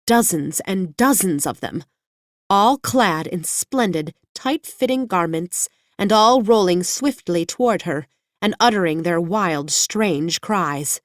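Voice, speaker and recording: Lowish-voiced woman narrating a storybook. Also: lively intonation, takes it slowly, no echo, studio quality. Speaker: audiobook narrator. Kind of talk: scripted children's fiction narration.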